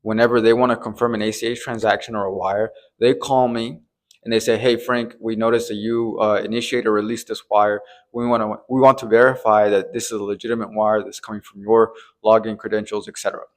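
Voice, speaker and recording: A man with a mid-pitched voice speaks as an advisor to business owners. His delivery is explanatory and emphatic. He hammers key warnings by repeating them and keeps checking that the listener follows. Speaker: treasury advisor, presenter.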